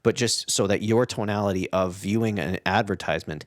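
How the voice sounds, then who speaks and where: A man's voice: fairly low pitch, fairly quick, dry recording, clean studio sound. A man co-hosting a casual podcast discussion, explaining his thoughts reflectively and often checking in with the listener. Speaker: podcast co-host.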